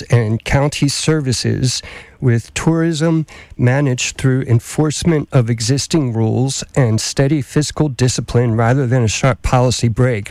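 A middle-aged man hosting a casual community radio interview, chatty and halting with frequent restarts and fillers. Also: mid-range voice, darkish tone, no room sound. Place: island community radio station.

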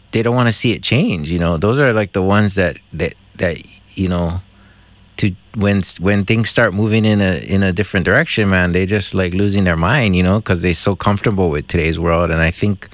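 Low-voiced reflective Hawaiian radio host. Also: male voice, fairly bright tone, no echo. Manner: reflective, halting, many fillers. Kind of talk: casual radio conversation.

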